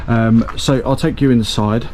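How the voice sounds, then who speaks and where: A man's voice: mid-range voice, steady tone, a little slow, darkish tone, slight background noise. A man hosting a renovation channel, giving a casual walkthrough tour of a gutted small cottage with plenty of ums and self-corrections. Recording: echoey bare rooms.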